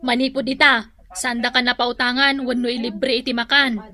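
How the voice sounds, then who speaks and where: Woman acting a bright, high-pitched, fed-up coworker. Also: level tone, normal speed. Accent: Ilocano Filipino. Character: frank, fed-up young female coworker. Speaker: radio drama actress. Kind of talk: Ilocano radio drama acting.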